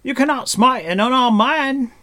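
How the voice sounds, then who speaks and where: A man's voice: mid-range voice, lively intonation, a little slow, fairly bright tone, voice pushed up. A man performs a wandering bard from a fantasy storybook. He is nervous and courtly, given to flowery protest and grand exclamation. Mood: alarmed, protesting. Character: frightened, flowery, bowing male wandering bard.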